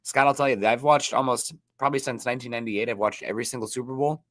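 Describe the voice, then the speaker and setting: A man's voice: medium pitch, fairly even intonation, fast talker, a bit bright, no echo, clean studio sound. A man with a sore throat and a stuffed-up nose, co-hosting a podcast in casual, rambling chat. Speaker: podcast co-host.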